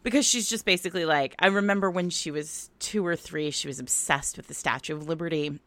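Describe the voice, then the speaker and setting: A middle-aged woman podcast host chatting casually and telling anecdotes in an animated way, acting out the dialogue. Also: low-pitched, a little fast, no room sound. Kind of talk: casual conversational storytelling.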